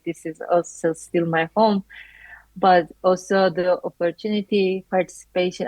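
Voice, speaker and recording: A woman's voice: lowish voice, darkish tone, no room sound, rough recording. A woman in her twenties from Turkey, a native Turkish speaker, earnestly answering interview questions about her life as a student, with many "like" fillers. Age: 20s.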